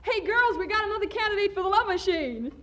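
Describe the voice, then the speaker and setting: A woman's voice: very high-pitched, animated, quick pace, fairly bright tone. An actress playing an eager, pushy young college girl in a 1940s radio comedy. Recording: hissy 1940s radio broadcast.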